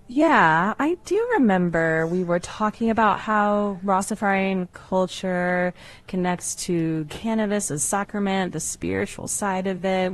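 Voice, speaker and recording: A woman's voice acting as an AI co-host on a talk show, smooth and upbeat in her banter. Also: medium pitch.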